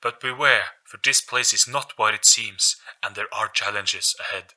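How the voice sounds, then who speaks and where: A man's voice: lowish voice, moderate pace, bright, forceful tone, poor audio. A man voicing a kindly, courteous gramophone ghost, a grand and theatrical guide in an audio story. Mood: grave. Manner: grand, theatrical, occasionally stern.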